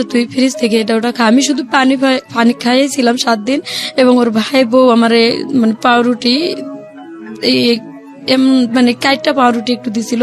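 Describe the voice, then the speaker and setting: A woman with a Bangladeshi accent telling her own story in an interview, in colloquial rural speech, acting out the conversations she recalls. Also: a little high. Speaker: guest, returned migrant domestic worker. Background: faint music.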